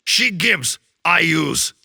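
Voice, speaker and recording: An actor voicing a tiny, brash, scheming fairy scavenger with a Russian accent and blunt broken English in an animated fantasy audio drama. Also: male voice, fairly high pitch, slow, creaky, slightly rough, dry recording, studio quality, heavily acted.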